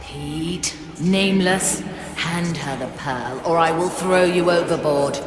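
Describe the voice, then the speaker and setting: Solemn female ghost ship for a game, lowish voice, authoritative, huge spooky echo. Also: slow pace, crowd noise. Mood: stern. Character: commanding living ghost ship, stern female spirit. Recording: echoey long reverb over music.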